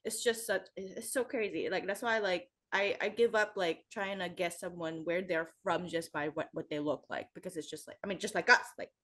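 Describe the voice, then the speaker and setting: Bright, chatty young woman. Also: fairly low pitch, fairly quick, hardly any pauses. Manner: animated, chatty, lots of "like". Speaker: podcast guest. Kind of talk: casual chat.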